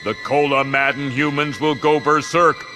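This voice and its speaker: An actor playing a pompous, scheming space alien villain in a cartoon game, declaiming in a grandiose way. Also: male voice, mid-range voice, fairly even intonation, normal speed, sharp tone, soft background music, lightly acted. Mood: gleeful scheming.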